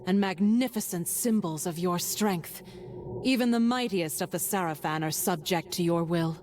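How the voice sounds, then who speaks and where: A woman's voice: fairly low pitch, normal speed, a little mellow, a little hiss or hum, natural voice. A woman playing a resolute, persistent noblewoman in a video game, with formal, controlled, earnest delivery. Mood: persuasive, composed.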